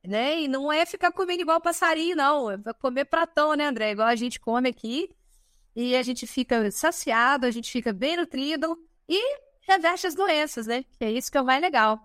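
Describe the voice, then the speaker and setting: Chatty high-voiced Brazilian woman. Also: moderate pace, no echo, studio quality. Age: 30s. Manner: lively, chatty, tags sentences with "né?". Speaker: podcast guest. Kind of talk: casual chat.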